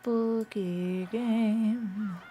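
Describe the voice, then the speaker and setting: A woman's voice: mid-range voice, steady tone, extremely slow, dark tone, a little hiss or hum. A woman hosting a casual gaming livestream, talking hesitantly and often repeating her phrases. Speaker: streamer, host. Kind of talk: casual livestream chat, reading visual novel text aloud.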